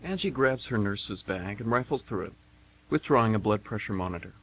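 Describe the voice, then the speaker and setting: A man's voice: fairly low pitch, animated, fairly quick, fairly bright tone, low-quality recording. A man reading stage directions as scripted narration for a radio drama.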